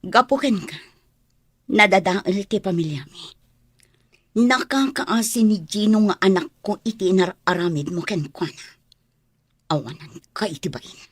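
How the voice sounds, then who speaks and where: A woman's voice: mid-range voice, animated, measured pace, long pauses, husky, dry recording, clean studio sound. An actress playing an indignant, confrontational mother facing her rival in an Ilocano radio drama, with an Ilocano accent and accusing delivery. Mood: indignant, scornful.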